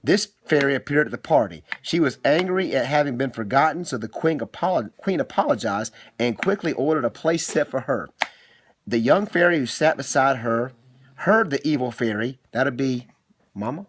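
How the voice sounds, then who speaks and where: A middle-aged man with a fairly low voice for storytelling reads aloud to his child. He is theatrical and teasing, and keeps interrupting himself with asides. The homemade recording has faint noise behind him. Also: lively intonation, normal speed. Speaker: father telling a bedtime-style story.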